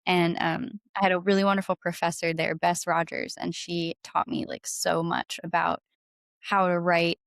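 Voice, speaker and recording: A woman's voice: fairly low pitch, flat delivery, moderate pace, gravelly, dry recording. A woman in her twenties chatting casually as an interview guest, bubbly and gushing, peppering her talk with "like". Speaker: guest singer-songwriter and violinist.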